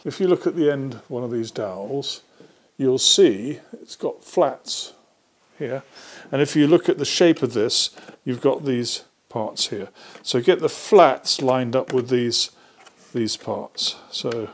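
A man's voice: medium pitch, takes it slowly. A British man in his sixties patiently explaining a hands-on task step by step, as a workshop presenter. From Britain. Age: 60s. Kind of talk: hands-on assembly tutorial. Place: indoor workshop.

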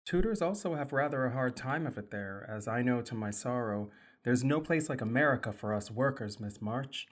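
Man voicing a polite young tutor, formal, soft-spoken, radio play. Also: medium pitch, expressive, moderate pace, close to an everyday voice. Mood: gentle. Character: earnest young male tutor, Mister Brooke. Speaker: actor.

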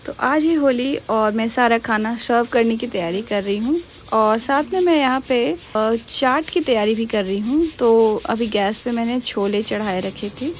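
A woman's voice: fairly high pitch, moderate pace, hardly any pauses. Chatty young woman narrating her cooking. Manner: chatty, mixes in English words. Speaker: cooking vlogger. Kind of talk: casual voice-over narration of cooking.

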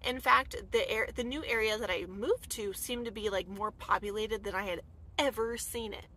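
A woman in her thirties from the United States telling a personal story in a casual, animated vlog, full of "like" fillers, filmed inside a dark camper van at night. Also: medium pitch, normal speed. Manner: chatty, animated, many "like" fillers. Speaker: vlogger.

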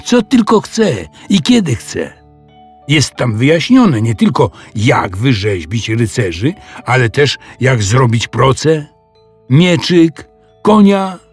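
A man voicing an excitable, proud schoolboy in a children's audio story, gushing and boastful. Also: medium pitch, slow, husky, faint music behind, voice pushed up, heavily acted. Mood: proud, excited.

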